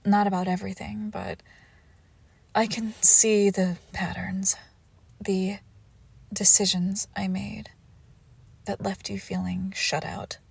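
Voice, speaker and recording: Woman acting a villainous ex-lover, crime boss, suave, gravelly fry, formal measured phrasing, intimate audio drama, very dry close sound. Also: medium pitch, flat delivery, dry recording, exaggerated voice. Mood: tender, regretful. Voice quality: creaky, often dropping into whisper. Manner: measured, formal phrasing with soft breathy pauses. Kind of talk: scripted romantic audio roleplay, intimate and slow.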